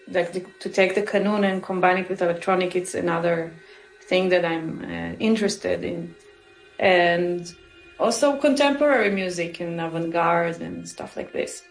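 A woman, answering interview questions thoughtfully and searching for her words. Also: fairly low pitch. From Israel. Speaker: musician guest. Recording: roomy with faint music.

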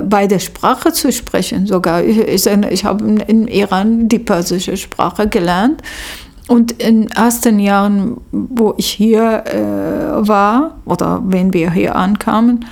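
Woman, native Arabic speaker, social worker, halting speech full of fillers, slow, gravelly. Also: medium pitch, a little mellow. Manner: hesitant, many fillers and restarts. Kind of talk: interview answers.

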